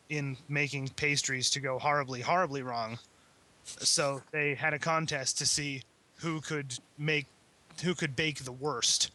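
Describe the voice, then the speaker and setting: A man chatting casually on a podcast, retelling things in a hesitant, halting way. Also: on the high side, pushed and bright. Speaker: podcast panelist. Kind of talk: casual chat, retelling a story.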